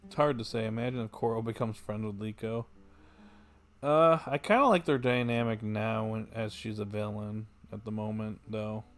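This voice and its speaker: A man's voice: on the low side, moderate pace. A man livestreaming a video game, chatting casually in a laid-back, musing way. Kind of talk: casual chat while streaming a game.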